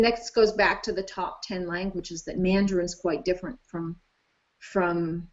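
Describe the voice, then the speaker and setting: A woman's voice: medium pitch, a little mellow. A woman discussing languages as an expert guest, enthusiastic and thinking aloud with frequent restarts. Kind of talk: casual discussion. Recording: dull lo-fi live stream audio.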